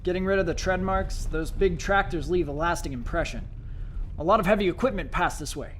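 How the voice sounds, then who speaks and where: A man playing a calm, matter-of-fact government scientist in a radio play, explaining things as he guides. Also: high-pitched, fairly quick, a little hiss or hum. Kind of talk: dramatized radio play acting.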